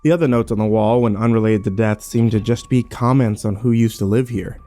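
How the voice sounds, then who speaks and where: A man playing a grim, fearful survivor, narrating his ordeal in a brooding, measured first-person horror style. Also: lowish voice, mellow, faint music behind, natural voice. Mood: grim, uneasy.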